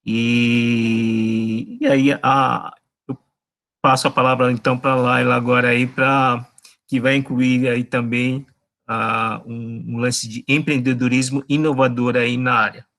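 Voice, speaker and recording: A professor hands the floor to a guest over a video call. He speaks slowly and hesitantly, breaking his phrases. His voice stays flat, with little rise or fall. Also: medium pitch. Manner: halting, hesitant.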